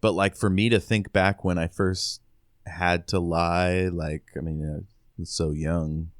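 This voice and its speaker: A man co-hosting a book podcast, chatting casually and musing aloud in a slightly halting way. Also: deep voice, flat delivery, no room sound, studio quality. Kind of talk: casual chat discussing a novel.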